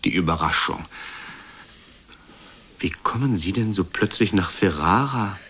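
An actor playing a flustered, courtly, aging and portly gentleman adventurer, short of breath, in a German radio play. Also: sits low, expressive, unhurried, slightly rough, exaggerated voice. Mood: flustered, breathless.

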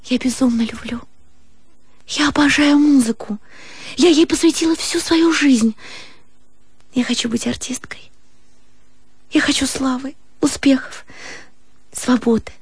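A young woman of artistic temperament in a radio drama. Her voice is high and close, recorded dry, and she speaks with theatrical feeling, in halting, impulsive phrases. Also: breathy edge, no room sound, exaggerated voice. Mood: agitated, earnest. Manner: emotional, halting, breaking off and repeating words. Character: young woman, artistic, ambitious, playful, refusing a suitor.

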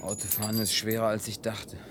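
A man's voice: on the low side, monotone, brisk, noisy background, close to an everyday voice. An actor in a German radio play, playing a wry, good-natured man who grumbles in a dry, sceptical way.

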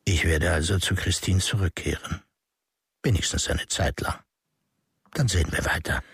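A cold scheming power broker. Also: male voice, sits low, normal speed, a bit bright, breathy edge, dry recording, full character voice. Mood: guarded. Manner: cold, calculating inner monologue. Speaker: politician character. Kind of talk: radio drama acting. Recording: studio radio play with music.

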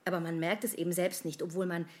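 A woman's voice: on the low side, flat delivery, fast, lightly acted. An actress playing an anxious, self-conscious woman who rambles and questions herself in a conversational radio play. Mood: uneasy, insistent. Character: anxious, self-conscious woman fretting over how she smells. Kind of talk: modern radio play acting, conversational monologue.

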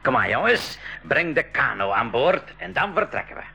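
Radio play casting: genial uncle professor, very lively pitch, gravelly, stammers as he starts. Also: normal speed, full character voice. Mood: eager, concerned. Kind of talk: 1980s school radio drama acting.

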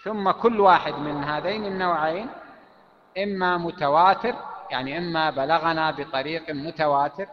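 A man teaching a classical legal text, explaining at length with pauses and fillers. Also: a little high, background noise. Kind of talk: lecture on Islamic legal theory. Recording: echoey hall, dull lo-fi sound.

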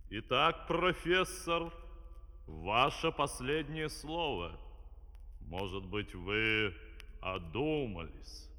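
An imperious villain dictator in a children's audio play. His voice is bright and forceful, issuing pompous commands with theatrical weight. The old vinyl recording puts him in long hall reverb. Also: on the low side, expressive, very slow, exaggerated voice. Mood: menacing.

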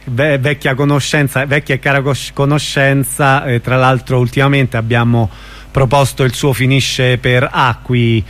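A man's voice: medium pitch, level tone, moderate pace, fairly bright tone. A man hosting an informal radio interview.

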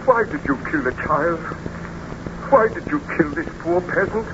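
An actor playing an anguished, accusing scientist in a melodramatic 1930s radio serial. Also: male voice, fairly high pitch, expressive, a little slow. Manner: melodramatic, theatrical. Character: anguished, accusing male scientist, the monster's creator. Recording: noisy, muffled 1930s radio recording.

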